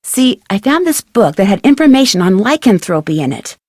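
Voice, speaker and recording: A curious young American woman detective talks as if chatting on the phone. Her delivery is earnest and matter-of-fact, with an everyday middle pitch. The recording is very dry and clean. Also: big pitch swings, fairly quick, a little mellow, no room sound. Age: young adult. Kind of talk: video game dialogue acting, conversational phone chat.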